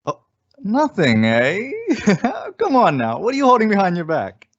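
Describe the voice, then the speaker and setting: A man's voice: sits high, wildly swooping pitch, a little slow, full character voice. A man playing an eager, doting husband and father-to-be in an old-time radio sitcom, with a coddling manner. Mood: tender, teasing. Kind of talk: 1940s radio sitcom acting. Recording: muffled old-time radio style recording.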